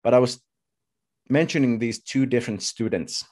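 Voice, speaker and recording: A man in his thirties giving a personal talk as a speaker, telling his story in short, halting, deliberate phrases. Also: mid-range voice, darkish tone. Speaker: conference speaker. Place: indoors at home, video call.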